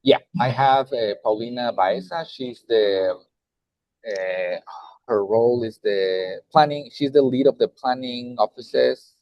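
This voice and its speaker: A man from Mexico with a Mexican Spanish accent, a nonprofit director talking in a roundtable, halting with many fillers and restarts, heard over a video call. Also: mid-range voice, no echo, dull audio. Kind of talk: roundtable discussion.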